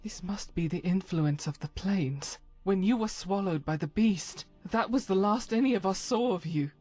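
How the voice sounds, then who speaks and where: A woman voicing a devout, weary female warrior of a holy order in a fantasy game, earnest and solemn, with a husky, breathy voice and halting formal phrasing. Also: mid-range voice, a little mellow, soft background music, lightly acted. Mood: weary, resolute. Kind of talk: voice acting for fantasy game companion.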